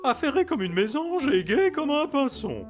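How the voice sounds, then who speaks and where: A man's voice: very high voice, very animated, normal speed. A man voicing a cheerful, chatty, folksy pigeon mailman in a French cartoon dub, with music behind him. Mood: cheerful, busy. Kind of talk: French animated film dubbing.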